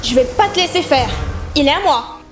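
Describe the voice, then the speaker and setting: Woman playing a very high-pitched, indignant young nymph. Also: expressive, a little fast, over music. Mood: jealous rage. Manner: indignant, theatrical. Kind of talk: amateur audio drama acting.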